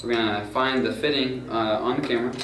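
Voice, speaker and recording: A man's voice: medium pitch, fairly even intonation, fast talker, fairly bright tone. A young man giving a casual how-to demonstration on camera setup, talking loosely with lots of fillers. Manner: loose and informal, many fillers and "gonna go ahead". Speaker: student crew tutorial presenter. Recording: roomy, far from mic, noisy.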